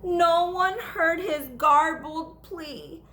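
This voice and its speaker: A woman teacher reading a children's story aloud in an expressive, rhythmic, dramatic delivery. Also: extremely high pitch, very slow, a little hiss or hum. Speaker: teacher reading to second graders.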